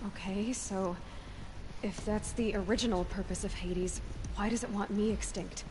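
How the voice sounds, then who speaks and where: An actress plays a determined young female adventurer in a game, inquisitive and direct. Her voice is medium in pitch, with clear noise and ambience around it. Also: steady tone, normal speed, natural voice. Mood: puzzled, determined. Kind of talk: video game dialogue.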